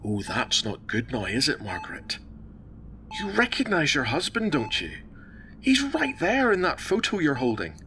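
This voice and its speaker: A man playing a calm, measured hospital doctor in a radio drama, professional, reassuring and deliberate. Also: on the high side, slight background noise, full character voice. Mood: gently coaxing.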